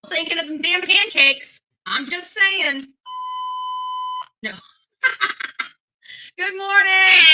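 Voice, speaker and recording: Woman, online streamer, extremely high pitch, sharp piercing tone, casual livestream chat. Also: low-quality recording.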